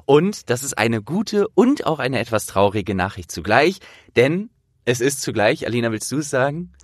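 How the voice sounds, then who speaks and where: A man in his thirties chatting casually as a podcast interviewer, with a jovial, animated manner. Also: medium pitch, normal speed, no echo, studio quality.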